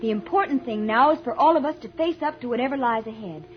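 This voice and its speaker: An actress playing the brave, level-headed young daughter of a rocket scientist in a 1950s radio drama, earnest and reassuring. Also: female voice, on the high side, quick pace, faint music behind, natural voice. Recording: muffled old radio recording.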